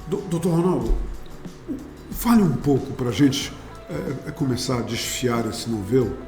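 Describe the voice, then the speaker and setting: A man's voice: medium pitch, animated, unhurried, mellow, reverberant, faint music behind. A man hosting a TV interview, asking questions respectfully with hesitations and restarts. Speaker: TV interview host.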